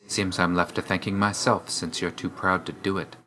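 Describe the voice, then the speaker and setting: An actor playing an aloof, weary young god of death in a video game, speaking in a restrained, clipped and solemn way. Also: male voice, low voice, little pitch movement, moderate pace, bright, forceful tone. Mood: reproachful, subdued.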